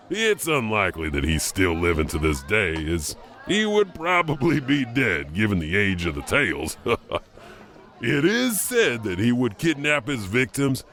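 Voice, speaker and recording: A man's voice: mid-range voice, a bit bright. A man playing a sardonic horror host, narrating scripted spooky legends in a theatrical, darkly humorous manner.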